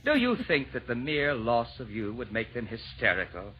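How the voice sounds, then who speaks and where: Unflappable, courteous old gentleman acted by a man, lively rhyming delivery, vintage radio play. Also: medium pitch, normal speed, a crowd in the background, close to an everyday voice. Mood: reasoning. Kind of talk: old-time radio verse comedy acting. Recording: dull, noisy vintage radio recording.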